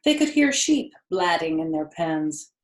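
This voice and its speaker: A woman's voice: low voice, sing-song, unhurried. An older woman reads a children's book aloud expressively, in a grandmotherly way, with chatty explanations for young listeners. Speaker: storyteller reading to children. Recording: echoey room sound.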